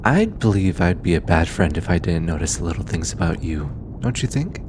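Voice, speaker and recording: A man playing a gentle, earnest alien scientist in an intimate audio drama, bookish and easily flustered, with a hesitant, softly stammering delivery. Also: fairly quick. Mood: fond. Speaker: voice actor. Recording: steady low rumbling background noise.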